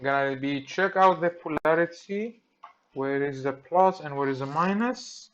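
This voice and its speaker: A man with a Greek accent, speaking English as a second language, walks through a repair and thinks aloud in halting, simple sentences. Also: on the high side, highs cut off. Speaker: repair technician. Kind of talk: hands-on repair tutorial. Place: workbench.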